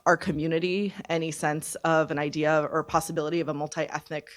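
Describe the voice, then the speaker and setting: A woman in her twenties giving personal testimony at a panel discussion, speaking in a halting, deliberate, reflective way. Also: sits low, little pitch movement. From born in Serbia, raised from infancy in Canada. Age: 20s. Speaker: panelist, refugee survivor. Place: conference event, speaking on a panel.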